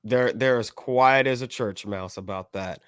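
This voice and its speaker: An American man co-hosting a podcast, discussing things casually in a measured, careful, hedging way. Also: medium pitch, a bit bright, clean tone, no room sound, studio quality. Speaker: podcast co-host.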